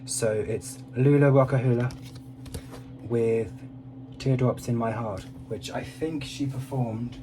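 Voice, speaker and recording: Male record collector, casual unboxing commentary, medium pitch, heard at a distance from the mic. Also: fairly even intonation, slow, warm tone. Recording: distant mic with clear background noise.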